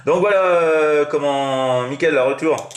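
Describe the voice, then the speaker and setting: A man's voice: a little high, measured pace. A man with a French accent chatting casually as an amateur radio operator, in a hesitant, rambling, repetitive way. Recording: roomy, echoing room sound.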